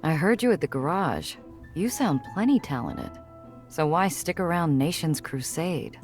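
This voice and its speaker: A tough, wry noir heroine for a game. Her voice is strikingly low for a woman, measured in description and blunt in conversation, over music. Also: expressive, a little mellow. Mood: blunt, practical. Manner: measured, literary narration with blunt casual asides. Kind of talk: scripted first-person game narration.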